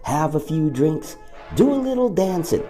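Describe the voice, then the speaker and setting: A man's voice: a little high, sing-song, normal speed, mellow, soft background music. A man playing a chummy, wisecracking henchman to an evil boss in an audio drama, with a folksy, casual manner. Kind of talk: audio drama character acting.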